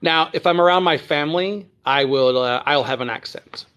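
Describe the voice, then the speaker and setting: Man, from Texas, standard American accent, slightly higher voice, casual phone livestream chat, lots of ums and throat clearing. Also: moderate pace, a bit bright. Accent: none, trained standard American. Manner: chatty, rambling, frequent ums and throat clearing. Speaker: livestream host. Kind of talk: casual livestream chat answering viewer comments. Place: at home near Dallas.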